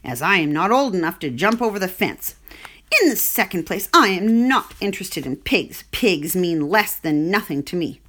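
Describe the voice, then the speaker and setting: A woman's voice: on the low side, big pitch swings, normal speed, a bit bright. A woman voicing a haughty young lamb who speaks curtly and snootily, in a storybook reading. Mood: snooty. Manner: curt and snooty.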